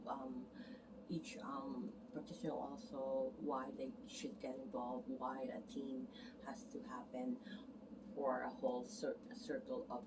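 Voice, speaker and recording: A woman's voice: very deep voice, flat delivery, a little slow. A woman massage therapist talking casually and earnestly to camera, rambling and correcting herself as she goes. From Canada immigrant, arrived as a teen. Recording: distant mic, noticeable background noise.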